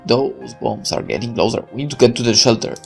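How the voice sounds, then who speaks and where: A man voicing a doting but commanding middle-aged military father in a visual novel, measured and firm. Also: mid-range voice, animated, creaky, darkish tone, faint music behind. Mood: urgent, commanding.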